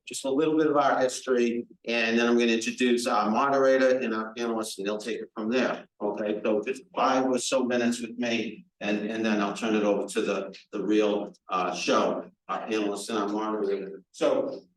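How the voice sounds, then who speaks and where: A man with a deep, carrying voice welcoming an audience as the association's chapter president, talking loudly and chattily without a microphone. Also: steady tone, normal speed, hardly any pauses. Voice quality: deep voice that carries. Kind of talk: welcoming remarks at a live event. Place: event room at a nonprofit center. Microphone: no microphone, recorded from the room. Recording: echoey room.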